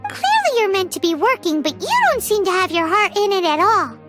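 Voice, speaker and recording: A woman voicing a tiny, childlike female game companion, squeaky, cheeky and excitable, speaking of herself in the third person. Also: fairly quick, loud music behind the voice. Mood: cheeky, suspicious. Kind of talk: anime game voice acting.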